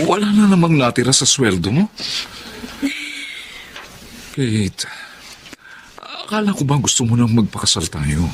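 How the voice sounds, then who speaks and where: A man's voice: mid-range voice, a little hiss or hum. A man playing a frustrated young Filipino fiancé in a radio drama, earnest and reproachful. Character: frustrated fiancé pressing his girlfriend for a decision.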